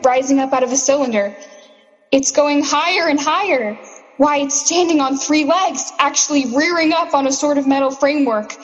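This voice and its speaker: A woman's voice: high voice, natural voice. A woman playing an alarmed eyewitness reporter, urgently describing events live in a radio play. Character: alarmed female eyewitness reporter watching a monstrous machine. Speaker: on-the-scene reporter. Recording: muffled, hollow reverberant radio sound.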